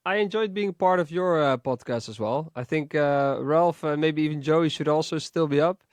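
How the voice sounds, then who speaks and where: A man's voice: on the high side, moderate pace, no room sound. A young man co-hosting a podcast over a video call, teasing his friends and rambling with frequent restarts. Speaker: podcast co-host. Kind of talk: casual podcast chat. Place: home studio, indoors. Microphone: studio mic, video call.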